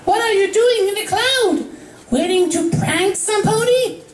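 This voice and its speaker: A man's voice: very high-pitched, a little slow, echoey room, voice pushed up. A man voicing a bubbly, childlike, cake-obsessed female cartoon pony who is playful and demanding.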